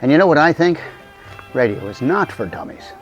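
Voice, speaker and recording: A man's voice: fairly high pitch, very animated, brisk, soft background music. A man hosting with scripted, conversational narration addressed to the audience, recorded a little dull. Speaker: host introducing a radio comedy recreation.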